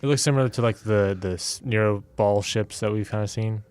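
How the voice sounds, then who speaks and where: A man with a fairly low voice plays a tabletop game. He improvises casually, hesitant and rambling, and the recording is close and free of room sound. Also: fairly quick, darkish tone, clean tone, dry recording. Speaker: player in a tabletop game podcast.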